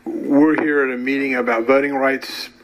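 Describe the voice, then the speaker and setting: A man's voice: mid-range voice, steady tone, normal speed, rough recording. A man working as a radio reporter, asking short questions in a field interview.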